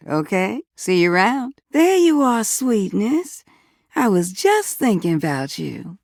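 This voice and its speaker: Game role: sassy, nurturing older Southern belle of a water heater; low, very animated, teasing and folksy. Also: female voice, creaky, no echo, studio quality, heavily acted. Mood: teasing, friendly, then warm, welcoming. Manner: teasing, folksy, full of endearments. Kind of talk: video game character dialogue.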